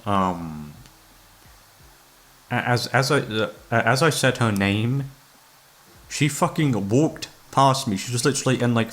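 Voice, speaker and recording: A young man chatting casually to his listeners, rambling in a hesitant, sweary, self-deprecating way. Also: on the low side. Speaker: voice actor, channel creator. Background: soft background music.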